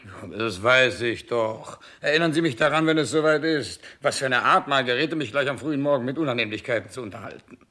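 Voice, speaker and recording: A man's voice: medium pitch, lively intonation, brisk. An actor playing an imperious, petulant, ailing king in denial, pompous and capricious, in a 1960s radio play. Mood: dismissive, petulant. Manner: capricious, pompous, swinging from shouts to mutters.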